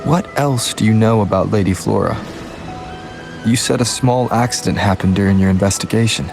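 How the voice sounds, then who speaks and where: A man's voice: fairly low pitch, fairly quick, vocal fry, over music. An actor playing a serious, reserved young male adventurer in a video game, speaking in an earnest, businesslike way.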